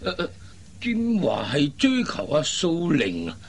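A man's voice: a little high, wildly swooping pitch, unhurried, breathy edge, exaggerated voice. A man playing a puzzled, kindly middle-aged father in a Cantonese radio drama, hesitant and exclamatory. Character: puzzled, fretful, kindly father of grown daughters.

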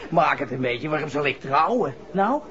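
An actor playing a brash, cocky young playboy with slangy, boastful talk in a 1960s radio drama. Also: male voice, on the high side, sing-song, normal speed, fairly bright tone, slight background noise. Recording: muffled vintage radio recording, music behind.

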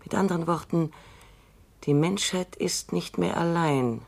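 A woman in her seventies playing a shrewd retiring robot psychologist in a radio drama, measured and reflective, sometimes dropping to near whispers. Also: lowish voice, gravelly, darkish tone. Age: 70s. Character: shrewd elderly female robot scientist, dryly wry.

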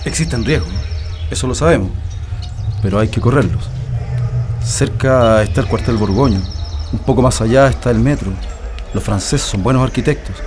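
An actor playing a pragmatic, calculating political prisoner with a Chilean accent, earnest and measured in a radio drama. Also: male voice, mid-range voice, over music, natural voice.

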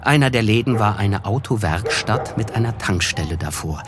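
An actor playing a reflective, wryly observant gentleman who narrates a literary radio play in a measured way. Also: fairly low pitch, animated, natural voice. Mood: somber, observant. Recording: music under the voice. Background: music.

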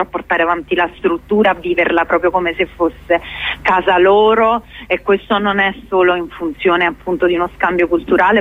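Slow-paced woman on a phone-like line. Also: lowish voice. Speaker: interview guest, theatre company director. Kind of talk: informal radio interview. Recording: roomy, tinny phone-like line on radio.

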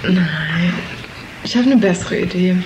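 A woman's voice: lowish voice, measured pace. A woman playing the female half of a bickering couple in a radio play, speaking colloquially. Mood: suggestive. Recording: roomy, noisy radio play scene.

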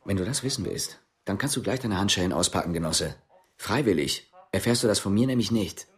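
An actor playing a guarded, dry police investigator in a radio drama, speaking tersely. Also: male voice, expressive, brisk, husky. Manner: terse, low-key.

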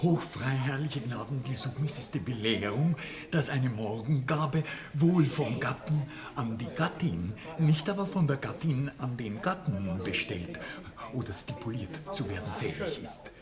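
An actor playing a pedantic, stiffly polite notary who speaks in formal legal phrases, in a 1960s radio drama. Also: male voice, medium pitch, lively intonation, a little slow, crowd noise, natural voice.

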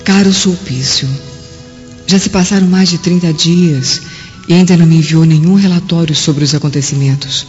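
An actress playing a scheming, frustrated Roman adulteress, reading out a letter in conspiratorial, measured radio drama style. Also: female voice, lowish voice, darkish tone, natural voice. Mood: scheming, impatient. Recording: muffled, long reverb, music behind.

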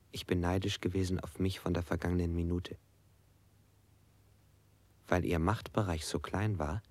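An actor playing a tormented, submissive man recounting captivity in a literary radio play, speaking slowly and haltingly with breathy near-whispers. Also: low-pitched, darkish tone, dry recording, exaggerated voice.

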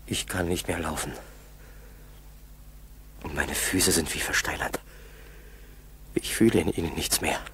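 An actor playing a feverish, wounded pilot who talks to himself in halting, gasping fragments in a 1960s German radio play. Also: male voice, very deep voice, fairly even intonation, fairly bright tone, heavily acted. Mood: exhausted. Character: wounded, exhausted, feverish downed pilot struggling through snowy forest. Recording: hissy, noisy 1960s tape recording.

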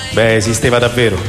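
An actor playing a restless, world-weary man who recounts wild rock-and-roll memories in a gravelly, theatrical radio drama narration. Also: mid-range voice. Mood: brooding, wry. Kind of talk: dramatic first-person scripted narration. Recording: long studio reverb under music. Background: music.

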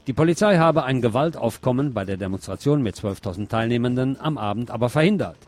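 A man hosting a radio show, presenting commentary and reading news aloud in a deliberate way with pauses. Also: mid-range voice, expressive, a little mellow, no room sound.

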